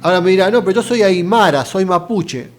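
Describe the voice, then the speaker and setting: A man's voice: high voice, animated, brisk, a little mellow. An Argentine man giving a casual philosophy lecture, talking straight to the viewer with rhetorical questions. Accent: Argentine Spanish. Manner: conversational, addresses viewer directly with rhetorical questions.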